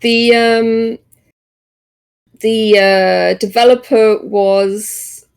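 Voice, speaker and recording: A woman co-hosting a podcast, chatting casually about games in a hesitant, halting way. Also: a little high, steady tone, creaky, smooth. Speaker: podcast co-host.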